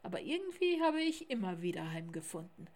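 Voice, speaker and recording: A woman's voice: fairly low pitch, sing-song, fairly quick, darkish tone. Storybook polar bear boy, brave and caring, plain reassuring delivery, read by a woman. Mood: encouraging. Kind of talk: children's storybook character voice.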